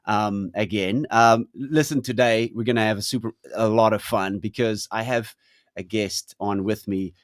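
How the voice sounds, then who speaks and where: South african host on a video call, dry close sound, lots of ums and false starts. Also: male voice, lowish voice, steady tone, moderate pace, dry recording. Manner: hesitant, lots of ums and restarts. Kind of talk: casual conversation.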